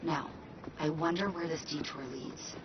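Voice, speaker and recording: Lowish-voiced tech guide. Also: female voice, steady tone, measured pace, heavy background noise. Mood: curious. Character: brisk, curious tech operator guide. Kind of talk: video game voice acting. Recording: dull audio under loud music.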